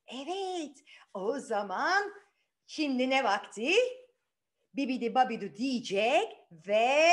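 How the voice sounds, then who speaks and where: A woman telling a story to children in an animated, interactive way, often asking questions and repeating phrases. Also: fairly high pitch.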